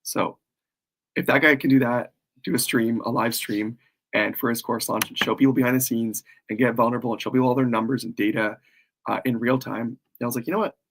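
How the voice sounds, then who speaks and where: A man with a cold and a worn, hoarse voice, rambling casually through a live stream as he launches his course. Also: medium pitch, steady tone, fairly quick, low-quality recording. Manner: rambling, self-deprecating, frequent fillers.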